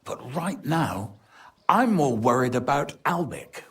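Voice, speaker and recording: An actor playing a worldly, anxious medieval lord in a video game, speaking in a measured, formal way. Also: male voice, medium pitch, very animated, slightly rough, heavily acted. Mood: grave, concerned. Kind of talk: video game cutscene acting.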